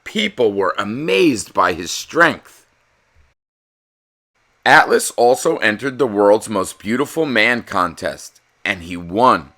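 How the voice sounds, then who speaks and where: A middle-aged man reading a children's storybook aloud and chatting to young viewers in an emphatic, expressive way. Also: on the low side, slow. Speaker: read-aloud host reading a children's picture book.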